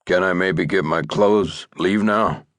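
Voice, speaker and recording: A man's voice: sits low, slow, a bit bright, no echo. Figure: a man doing a terse, weary cowboy. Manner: terse, mumbled, few words.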